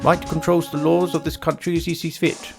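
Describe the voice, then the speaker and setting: A grave older male lawyer in a game drama, played by a man, weighed down by shame. His voice is creaky and a little high, with clear music behind him. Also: flat delivery, brisk. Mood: ashamed, grave.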